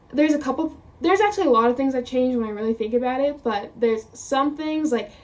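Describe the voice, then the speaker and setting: Young woman, twenty, high voice, casual vlog chat, rambling, laughs a lot, slightly distant mic. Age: 20s. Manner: chatty, rambling, quoting her own thoughts; laughs often. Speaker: vlogger.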